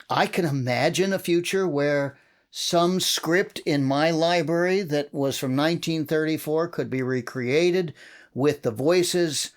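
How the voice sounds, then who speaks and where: A man moderating a casual panel discussion, speaking in a musing, halting way. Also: a little high. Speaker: panel moderator and audio drama creator.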